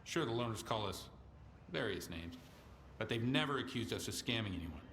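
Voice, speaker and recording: A man playing a hard-nosed armed faction commander in a video game, measured and stern. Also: fairly low pitch, lively intonation, creaky, fairly bright tone, a little hiss or hum. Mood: businesslike. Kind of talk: video game character dialogue.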